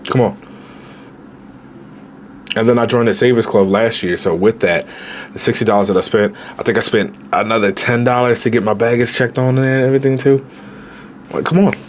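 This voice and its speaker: A man in his late twenties, a travel vlogger giving casual, animated budget travel advice straight to viewers, with slang. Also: medium pitch, normal speed, long pauses, a little hiss or hum. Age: 20s.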